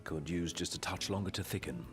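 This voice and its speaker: A man's voice: low-pitched, fairly quick, over music. A man voicing a refined, wry young royal retainer in a video game, articulate and courtly with a British accent. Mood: gently wry. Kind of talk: video game cutscene voice acting.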